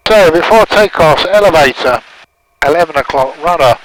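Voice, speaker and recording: A man with a London accent, flying a vintage plane and talking calmly through his checks over the headset intercom. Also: fairly high pitch, normal speed, no echo, low-quality recording. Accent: London English. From England. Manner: calm, running through checks aloud. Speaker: pilot. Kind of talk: casual cockpit chat. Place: aircraft cockpit. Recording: engine noise over intercom.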